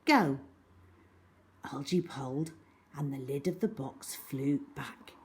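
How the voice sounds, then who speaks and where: A woman storyteller reading a children's story aloud in an expressive, dramatic way. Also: extremely low pitch, unhurried. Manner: dramatic, animated.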